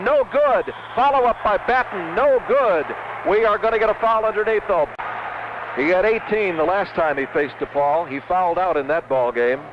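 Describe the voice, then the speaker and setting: High-pitched male basketball radio announcer. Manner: terse, clipped running phrases. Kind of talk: live basketball play-by-play commentary. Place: courtside in a basketball arena. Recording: dull, noisy 1970s radio broadcast recording.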